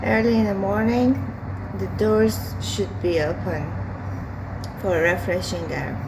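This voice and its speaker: A hoarse woman talking through her day in a casual home vlog, slow and hesitant with many fillers as she cooks. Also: mid-range voice, fairly even intonation. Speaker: vlogger. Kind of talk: casual daily vlog while cooking. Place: home kitchen with windows open. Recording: home recording with outside traffic noise. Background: street and vehicle noise from outside.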